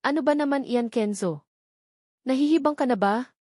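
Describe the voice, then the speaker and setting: A woman's voice: high-pitched, moderate pace, mellow, no echo, studio quality, voice pushed up. A woman voicing a feisty, defiant young fiancée in a romance audio novel, indignant and argumentative. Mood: angry.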